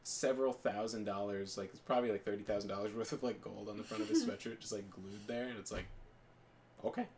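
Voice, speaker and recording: A man's voice: fairly low pitch, fast, darkish tone, a little hiss or hum. A young man chatting casually as a podcast co-host, rambling with lots of fillers and restarts. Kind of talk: casual chat while gaming.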